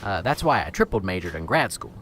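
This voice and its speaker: A wisecracking police lab tech, voiced by a man, rambles on in a chatty way. The pitch moves in lively swings. Faint hiss sits behind this amateur radio drama. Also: brisk, full character voice. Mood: wry. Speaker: lab technician.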